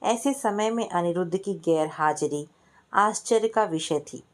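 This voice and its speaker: A woman storyteller narrates a scripted family story in a slightly low-pitched voice. She tells it as prose narration and steps into the dialogue of its characters. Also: unhurried.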